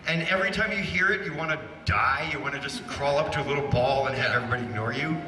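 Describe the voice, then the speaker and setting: A man's voice: medium pitch, quick pace. A man chatting casually as a cartoon creator on a panel, dry and teasing. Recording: echoey hall, distant mic, background noise.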